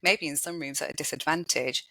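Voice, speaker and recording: A woman's voice: fairly quick, sharp tone, dry recording, low-quality recording. A woman talking as a podcast guest, thoughtful and full of small restarts and "you know"s. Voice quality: high-pitched voice. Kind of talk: casual interview conversation.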